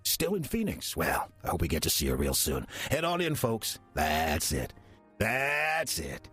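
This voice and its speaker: An actor playing a sly demon who passes as a folksy churchgoer, in a wry, calculated audio drama performance. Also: male voice, low-pitched, huge pitch swings, unhurried, a bit bright, soft background music, heavily acted. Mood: folksy, unruffled. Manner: smooth-talking, wry, calculated. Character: sly demon posing as a friendly small-town churchgoer. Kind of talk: audio drama acting and first-person narration.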